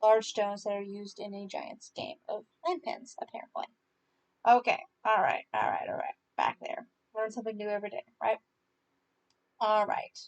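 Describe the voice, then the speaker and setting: A woman hosting a story podcast, reading folk tales aloud with informal asides and stumbling haltingly over unfamiliar words. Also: mid-range voice, creaky, dull audio. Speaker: podcast host and story reader.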